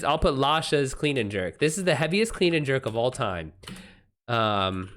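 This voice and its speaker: A man chatting casually on a livestream, giving loose, informal running commentary and swearing freely. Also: fairly high pitch. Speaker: live streamer.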